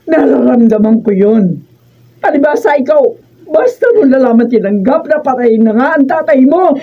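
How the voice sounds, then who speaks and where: A woman's voice: sits high, lively intonation, moderate pace. A Filipino woman playing an emotional, insistent grieving wife and mother who clings to hope, in a radio drama. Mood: indignant, tearful. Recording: muffled, distant mic, background noise.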